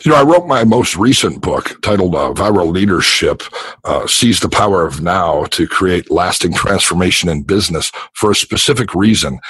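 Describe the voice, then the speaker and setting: Homem de voz grave e rouca, seca. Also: male voice, a bit bright, husky, no echo, poor audio. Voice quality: deep, throaty voice. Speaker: guest, psychotherapist and business consultant. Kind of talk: podcast interview answers.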